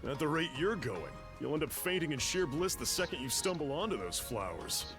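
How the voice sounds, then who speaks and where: A cheerful, easygoing adventurer from a video game. The voice sits fairly high and moves with lively pitch. He talks in a breezy, teasing, colloquial way, with music clearly behind him. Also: a little fast. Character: cheerful, easygoing adventurer and loyal male travel companion.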